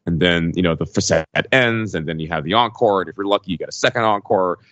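Low-voiced man on a dull, dry mic. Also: quick pace, a bit bright, no room sound. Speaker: podcast co-host. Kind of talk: casual conversational questioning.

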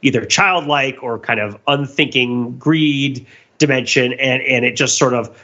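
A man with a bright, forward voice of medium pitch chats on a podcast. He is casual and animated, riffing jokingly through his analysis. The audio is slightly lo-fi. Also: a little slow. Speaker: podcast panelist.

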